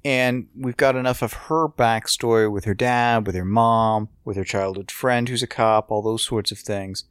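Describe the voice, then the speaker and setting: A man's voice: fairly low pitch, steady tone, moderate pace, hardly any pauses, clear, resonant tone, no room sound, clean studio sound. A man co-hosting a podcast, talking through a TV show in a casual, explanatory, conversational way. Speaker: podcast co-host. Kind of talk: casual TV review discussion.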